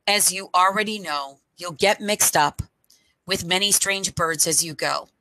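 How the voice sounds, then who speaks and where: A middle-aged woman reading a picture book aloud for children at storytime, phrase by deliberate phrase, into a headset microphone. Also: on the low side, bright, forceful tone, no room sound, poor audio.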